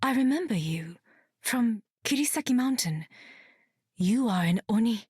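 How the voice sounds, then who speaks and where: Breathy, solemn swordswoman. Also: a little high, expressive, unhurried, a little mellow, dry recording, clean studio sound. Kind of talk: video game character dialogue.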